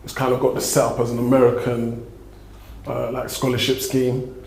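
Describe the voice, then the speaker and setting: Gravelly South London man, flat delivery. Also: mid-range voice, moderate pace. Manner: laid-back, rambling answers. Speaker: guest. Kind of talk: casual interview. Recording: echoey room with faint noise.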